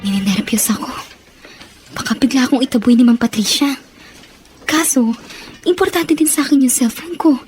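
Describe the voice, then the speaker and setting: A woman's voice: sits high, animated, moderate pace, long pauses, a little mellow, soft background music. A woman with a Filipino accent acting a brave, long-suffering servant with emphatic emotion in a melodramatic radio drama. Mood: nervous.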